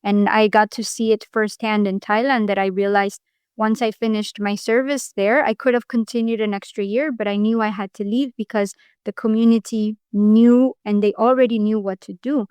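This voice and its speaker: Smooth-voiced woman guest, earnest and reflective. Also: mid-range voice, fairly even intonation, normal speed, a little mellow, no room sound. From Mexico. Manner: earnest, reflective, frequent restarts. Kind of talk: podcast interview.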